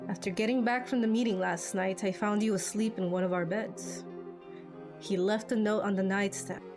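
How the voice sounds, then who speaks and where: A woman voicing a grave, measured father and veteran fighter in game cutscenes, explaining things seriously. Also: medium pitch, steady tone, lightly acted. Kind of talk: amateur game cutscene voice acting. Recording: music behind the voice.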